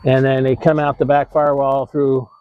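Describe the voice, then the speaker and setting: A man's voice: mid-range voice, little pitch movement, moderate pace, dry recording, narrow, tinny audio. An American man hosting a how-to video, explaining in a casual, informal way with some hesitation and self-correction. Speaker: host of an RV tips video channel.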